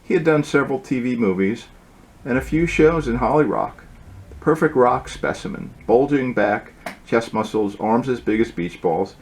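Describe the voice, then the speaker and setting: Male author for storybook read-aloud; medium pitch, informal delivery with the odd halting aside. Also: lively intonation. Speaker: author reading own book aloud.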